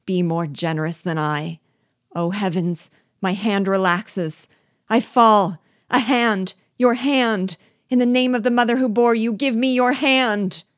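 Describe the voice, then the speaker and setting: A woman's voice: sits low, steady tone, a little slow, darkish tone, no echo, studio quality. A woman voicing a spiteful male dwarf jester in an audiobook, menacing at first and then begging frantically for his life. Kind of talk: audiobook reading.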